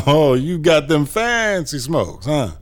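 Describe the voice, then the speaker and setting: A man's voice: on the high side, very animated, slow. A man playing an uneasy, gullible office boss in an audio drama, talking informally and hesitantly.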